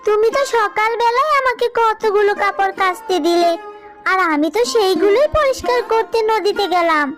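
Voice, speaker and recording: A woman's voice: very high-pitched, moderate pace, over loud music. A woman voicing a meek little village girl in a cartoon, childlike and earnest. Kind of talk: Bengali cartoon dubbing.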